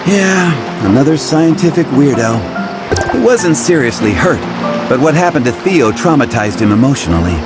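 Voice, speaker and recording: A man's voice: a little high, very animated, moderate pace. An actor playing a pragmatic, wry male field operative in a video game, speaking in a dry, matter-of-fact way. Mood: dry, businesslike. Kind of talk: video game dialogue acting. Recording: loud music behind the voice. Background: loud game music.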